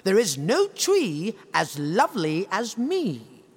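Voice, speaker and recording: In a storybook reading, a woman puts on a vain, haughty oak tree with a high, squeaky voice. It talks in a snooty, self-satisfied way, swooping up and down with wide sweeps of pitch. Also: huge pitch swings, slow, darkish tone, slightly rough, in a large hall, exaggerated voice.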